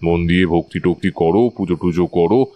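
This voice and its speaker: An actor playing a wise older Tantric priest in an audio drama, calm, measured and authoritative. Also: male voice, low-pitched, no echo, close to an everyday voice. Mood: calm, reassuring. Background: faint background music.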